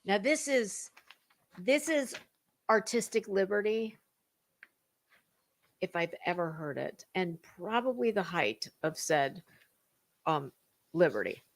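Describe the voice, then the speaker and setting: A woman's voice: medium pitch, very animated, takes it slowly, vocal fry, pauses often. A woman hosting a gossip stream, giving a dramatic reading with sarcastic, mocking commentary in a theatrical, sassy way. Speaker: host of a gossip commentary livestream.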